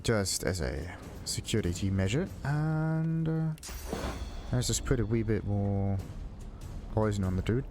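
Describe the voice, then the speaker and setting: A man's voice: on the low side, sing-song, slow pace, background noise. A man doing casual let's play commentary, thinking aloud to himself with game music behind him. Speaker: gaming video host. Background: video game music.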